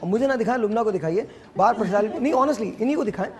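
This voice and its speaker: High-pitched, teasing male morning show host. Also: very fast. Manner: mixes English words into talk; playful, teasing. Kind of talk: lively studio talk show chat. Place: TV studio.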